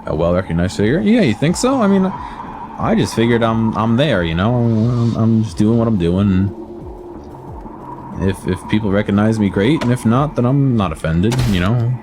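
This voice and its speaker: A man's voice: on the low side, expressive, moderate pace, background noise. An American man with a slight lisp streaming a video game, chatting casually and answering viewers with lots of hesitant fillers. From United States. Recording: game music playing behind.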